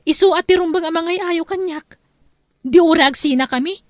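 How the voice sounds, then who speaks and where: A woman's voice: very high-pitched, moderate pace, dry recording. A woman playing a hurt, proud young wife with an Ilocano accent in a family radio drama, protesting as she speaks. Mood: aggrieved. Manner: aggrieved, protesting.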